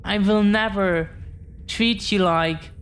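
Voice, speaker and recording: Woman acting as devoted dragon girlfriend, extremely slow halting delivery, earnest and protective, intimate audio roleplay, faint background ambience. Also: mid-range voice, slight background noise, natural voice. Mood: earnest, vowing.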